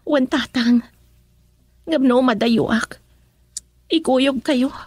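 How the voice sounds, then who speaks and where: A woman acting in a radio drama as a gentle young daughter devoted to her father, with an Ilocano accent. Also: high-pitched, extremely slow, no echo, studio quality, exaggerated voice. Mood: pleading, gentle.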